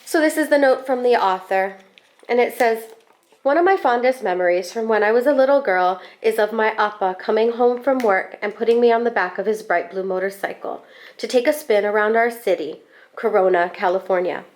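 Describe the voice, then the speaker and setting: A woman with a fairly high voice reads a picture book aloud for children. Her phrasing is expressive and deliberate, and she stretches out words to bring the story to life. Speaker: school staff member reading a picture book aloud.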